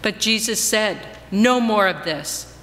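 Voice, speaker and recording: A woman giving a solemn, measured dramatic reading of scripture as a reader in a church. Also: mid-range voice, lively intonation, a bit bright. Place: church, before a congregation. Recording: reverberant church hall, faint background noise.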